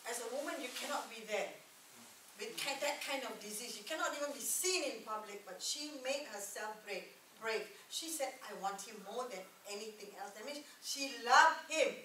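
A woman's voice: a little high, sharp tone, slight background noise. A middle-aged woman preaching a sermon, repeating key words and calling for "Amen?" from the room, recorded from a distant camera. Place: church meeting room with a projector screen. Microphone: distant camera mic. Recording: echoey room, far from the mic.

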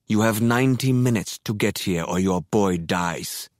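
A woman voicing a smooth-voiced, menacing male kidnapper in an audiobook, purring and icy. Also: a bass voice, darkish tone, dry recording, clean studio sound, voice pushed down. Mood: icy menace. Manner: icy, slow and threatening. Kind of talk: audiobook character voice.

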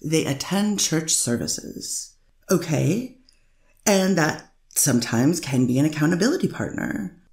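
A woman's voice: deep voice, lively intonation, measured pace, a little mellow. Woman attorney cross-examining in an echoey courtroom. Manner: leading questions, tag questions. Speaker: defense attorney.

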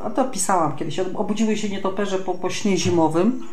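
A woman's voice: medium pitch, a little fast, a little mellow, a little hiss or hum. A woman chatting casually as a podcast co-host, telling anecdotes and jokes. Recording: roomy, echoey sound.

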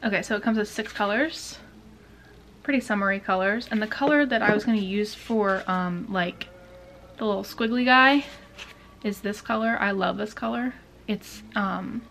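A woman's voice: medium pitch, fairly bright tone, a little hiss or hum. Chatty young woman, indecisive, sometimes whispering. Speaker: beauty vlogger. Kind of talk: casual chat. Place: small bedroom, indoors.

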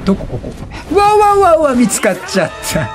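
An excitable man hosting a gameplay video. His voice is high and swings widely in pitch as he exclaims and repeats himself, with music playing behind him. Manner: exclamatory, repeats words in rapid bursts; laughs often while talking. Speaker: let's play host.

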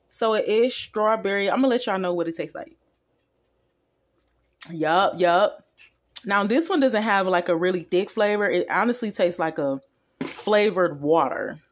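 A woman talking casually and colloquially in a home food vlog, filming her dinner on a phone at a kitchen counter. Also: mid-range voice. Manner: chatty, down-to-earth, colloquial. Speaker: vlogger.

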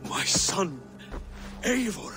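An older male actor playing a weary, defensive old Viking father and fallen king in a video game, halting and formal. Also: high-pitched, very animated, over music, exaggerated voice. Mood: surprised, welcoming. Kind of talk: dramatic video game acting.

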